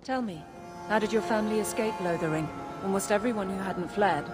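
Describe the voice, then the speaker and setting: A woman's voice: mid-range voice, a little fast, over music, lightly acted. A woman playing a blunt, wary refugee traveller in a fantasy video game. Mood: curious.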